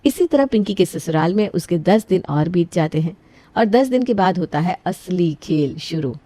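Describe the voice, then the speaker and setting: A woman narrating an animated story in a steady, matter-of-fact storybook manner. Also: fairly low pitch, moderate pace, dry recording.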